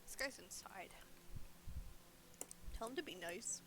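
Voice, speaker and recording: A woman's voice: mid-range voice, animated, takes it slowly, background noise. A woman chatting casually while she plays a game on a live stream. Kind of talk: casual live gameplay chat.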